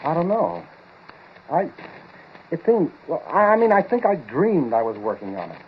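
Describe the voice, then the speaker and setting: An actor playing a bewildered, easily rattled laboratory partner, halting and stammering, in the style of 1940s radio acting. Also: male voice, fairly high pitch, wildly swooping pitch. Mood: confused, uneasy. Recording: muffled, hissy 1940s radio recording.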